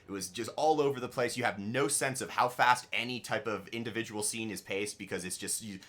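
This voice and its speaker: A man co-hosting a TV reaction show in casual chat, halting and correcting himself mid-sentence. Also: lowish voice, fairly bright tone. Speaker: co-host of a TV episode reaction show.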